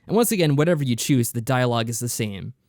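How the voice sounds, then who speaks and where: A man's voice: mid-range voice, expressive, fast talker, a little mellow, no echo, studio quality. A man co-hosting a podcast, explaining things in a casual, matter-of-fact review style. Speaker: podcast co-host reviewing visual novels.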